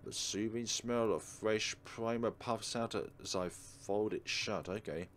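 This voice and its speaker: Low-voiced man reading a game aloud. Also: slow pace, a little hiss or hum. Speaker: let's play host. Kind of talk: reading a visual novel aloud with casual commentary. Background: soft game music.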